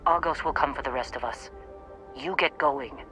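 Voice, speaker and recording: An actress playing a gruff, short-tempered woman mining boss in a video game, blunt and exasperated. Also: a bass voice, moderate pace, sharp tone, faint music behind. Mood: grudging, resigned. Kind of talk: video game cutscene acting.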